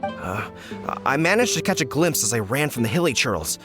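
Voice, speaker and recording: A man's voice: medium pitch, very animated, moderate pace, over music, lightly acted. A man voicing a flustered, indignant fruit merchant in a video game. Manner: flustered and indignant, sighing and complaining.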